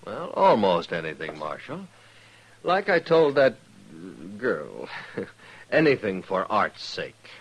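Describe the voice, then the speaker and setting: An actor playing a smug, callous traveling photographer, glib and mannered, in a 1950s radio western. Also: male voice, fairly low pitch, wildly swooping pitch, slow, husky, heavily acted, rough recording. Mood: smug, amused. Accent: American. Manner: glib, self-satisfied, mannered.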